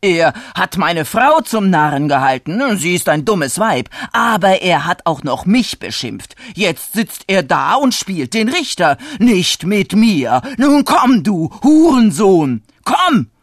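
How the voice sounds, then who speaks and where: Storybook farmer, acted by a narrator: hot-headed, gullible, loud and scolding, with big pitch swings, recorded dry. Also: lively intonation, slow pace, no room sound. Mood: furious, calling. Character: blustering, gullible, hot-tempered farmer, a married man.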